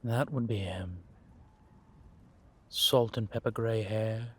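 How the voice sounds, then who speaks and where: A man playing a world-weary, wry and menacing vampire intelligence operative in an audio roleplay, confiding in a measured, drawn-out way. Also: lowish voice, steady tone, fairly bright tone, husky, full character voice. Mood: wry, amused. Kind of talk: roleplay audio drama monologue addressed to a listener.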